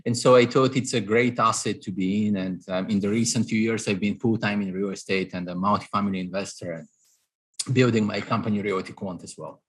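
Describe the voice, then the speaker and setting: A man's voice: lowish voice, steady tone, fairly quick, breathy edge. A man in his thirties with a Bulgarian accent, an investor answering interview questions analytically, with many fillers and restarts. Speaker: guest, real estate investor.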